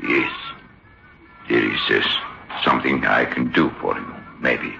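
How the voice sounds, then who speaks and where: An actor playing a haunted, despairing stage ventriloquist in a radio horror drama, halting and weary. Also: male voice, extremely low pitch, gravelly, full character voice. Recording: muffled old radio recording, faint noise.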